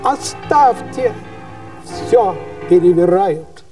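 Man acting a plaintive, high-pitched peasant mystic. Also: animated, warm tone, far from the mic, soft background music, exaggerated voice. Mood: pleading, aggrieved. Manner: plaintive, drawn-out, sing-song crying out. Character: pleading, aggrieved Russian peasant mystic, shouting that he is harmless.

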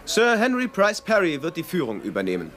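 A curt army captain in a radio play. His voice is rather high and varies in pitch, yet the manner stays formal and clipped. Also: wildly swooping pitch, fairly quick, fairly bright tone. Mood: formal firmness. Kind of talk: radio drama acting.

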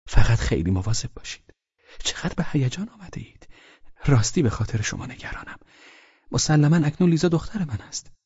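A man's voice: medium pitch, big pitch swings, slightly rough, no room sound, pitched above the performer's own voice, exaggerated voice. A man putting on a worried kindly lady. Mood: concerned. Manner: soft, raised, partly whispered warnings. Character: worried kindly middle-aged lady, cautioning gently. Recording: dry, slightly dull recording.

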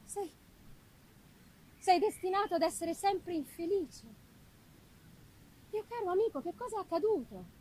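A woman reading the part of a grieving, compassionate young gentleman in an audiobook, solemn and sorrowful. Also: very high voice, lively intonation, a little slow, long pauses, a little hiss or hum, pitched above the performer's own voice, rough recording. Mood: tender concern. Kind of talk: dramatic audiobook character reading.

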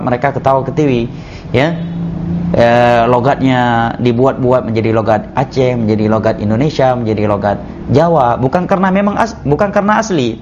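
A man, an Islamic teacher, giving a measured religious study lecture that is punctuated with "ya" and "nah.". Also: mid-range voice, darkish tone, a little hiss or hum. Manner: measured, repeats "ya" and "nah" as he explains. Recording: muffled lo-fi lecture recording.

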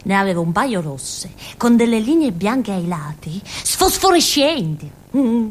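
An actress playing a naive, giggly village outcast woman with a Calabrian accent, in a halting, repetitive theatrical monologue. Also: medium pitch, very animated. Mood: naive, wistful. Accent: southern Italian, Calabrian.

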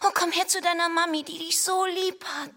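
An actress playing a gushing, flirtatious society wife in a radio drama, breathy, playful and theatrical. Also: female voice, extremely high pitch, fairly even intonation, a little fast, over music. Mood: gushing, doting.

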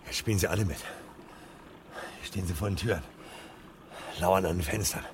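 Mann spielt gehetzten Flüchtigen, tiefe Stimme, verrauscht. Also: male voice, low voice, moderate pace, noisy background, lightly acted. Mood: muttering, sarcastic. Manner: agitated muttering to himself with exclamations and curses. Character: hunted fugitive fireman, defiant, bitterly sarcastic, frightened. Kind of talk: 1970s German radio play acting.

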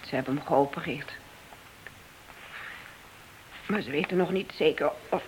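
A woman's voice: sits low, fast, darkish tone, a little hiss or hum, close to an everyday voice, poor audio. Woman playing a bitter, wounded policeman's wife. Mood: subdued. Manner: reproachful, terse. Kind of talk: radio play acting.

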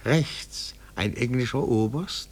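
An actor playing a pedantic petit-bourgeois amateur detective and reverent admirer, speaking with fussy, formal earnestness in a radio drama. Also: male voice, mid-range voice, takes it slowly. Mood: pedantic, self-satisfied. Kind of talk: mid-century German radio drama acting.

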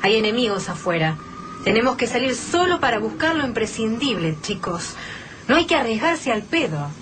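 An actress playing an anguished but determined survivor in a radio drama, emotional, with an Argentine accent. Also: female voice, a little high, very animated, normal speed. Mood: cautious, firm. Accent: Argentine, Rioplatense. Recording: radio drama with noisy background.

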